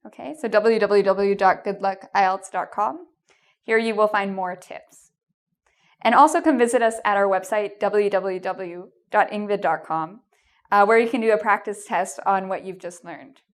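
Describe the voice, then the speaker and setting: A woman's voice: medium pitch, moderate pace. A woman with a Canadian accent giving an English exam lesson, encouraging and reassuring, with frequent "um" and "okay?" checks. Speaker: English teacher. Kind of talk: video lesson with tips.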